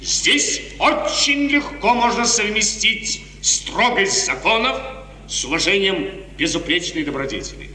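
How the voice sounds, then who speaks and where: High, bright-voiced imperious prince. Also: male voice, lively intonation, a little slow, exaggerated voice. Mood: soothing, commanding. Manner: commanding, condescending, theatrical. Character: imperious, suave prince, condescendingly reassuring. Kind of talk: 1950s radio theatre acting. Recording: echoing hall, old noisy radio recording.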